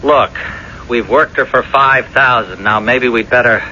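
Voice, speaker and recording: A hard-edged, forceful voice on a dull, faintly hissy old radio recording. It is a small-time blackmailer, slangy and defensive, wary of getting in too deep, in the manner of seventies radio drama. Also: male voice, a little slow. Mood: uneasy. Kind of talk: 1970s radio drama acting. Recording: muffled, hissy 1970s radio broadcast.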